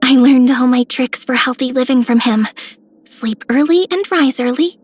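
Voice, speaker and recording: A woman's voice: high-pitched, moderate pace, breathy edge, faint music behind. A woman playing a playful, easygoing young female trickster in a video game. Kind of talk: scripted character voicelines.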